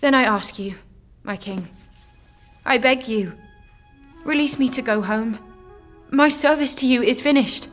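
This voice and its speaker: A woman's voice: a little high, a little slow, pauses often, faint music behind, close to an everyday voice. A young woman playing a determined, devout eighteen-year-old warrior maiden in an audio drama, speaking earnestly, firmly and bluntly. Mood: defiant, urgent. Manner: earnest, firm, outspoken. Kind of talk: historical audio drama acting.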